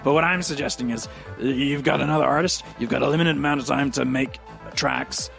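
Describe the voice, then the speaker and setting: A man's voice: mid-range voice, fairly even intonation, fairly quick, gravelly, a bit bright, faint music behind. A man playing an exhausted, eccentric media personality in a tabletop game, blunt, rambling and flippant. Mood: urging, rambling. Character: scruffy, exhausted, blunt, eccentric male media show host.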